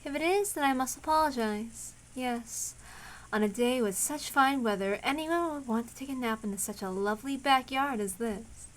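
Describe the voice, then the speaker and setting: A woman voicing a poised, whimsical woman from a visual novel, speaking formally and with arch, unhurried politeness. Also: high voice, close to an everyday voice, rough recording. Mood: arch, teasing. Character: poised, whimsical woman, coolly teasing a stranger. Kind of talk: visual novel read aloud.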